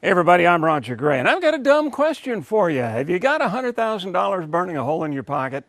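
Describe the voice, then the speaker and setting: A man's voice: sits high, very animated, fast talker, a bit bright, no room sound. An American man delivering scripted TV reporting and reviews as a reporter.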